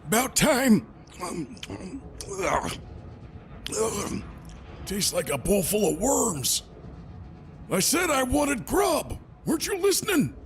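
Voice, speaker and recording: A man's voice: high-pitched, very animated, slow, pauses often, faint music behind, full character voice. A man playing a cruel, bullying middle-aged country father in an audio drama, barking orders and folksy threats in a harsh voice. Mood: surly, demanding.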